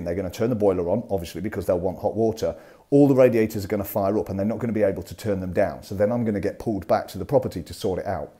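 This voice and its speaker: A man from the UK in his forties talks quickly and casually, explaining practical landlord tips as he moves through an empty house. His voice sits fairly low, and he speaks into a wired earphone mic. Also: dark tone. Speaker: landlord vlogger. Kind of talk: casual walkthrough vlog. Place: empty house and parked car.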